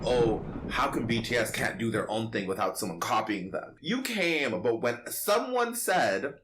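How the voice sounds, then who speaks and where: A man's voice: medium pitch, sing-song, a little slow, fairly bright tone, a little hiss or hum. A man hosting a podcast in casual, opinionated chat, sassy and slangy with emphatic delivery. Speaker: podcast host.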